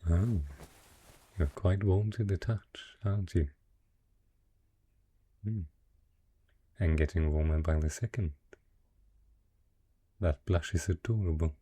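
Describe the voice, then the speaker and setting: Man voicing a deep, husky, seductive dragon lord. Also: mellow, exaggerated voice. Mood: teasing, flirtatious. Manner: slow, teasing, courtly, coaxing. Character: wealthy dragon in human guise, possessive, seductive, teasing merchant patron. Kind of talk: romantic fantasy audio roleplay, intimate address to the listener.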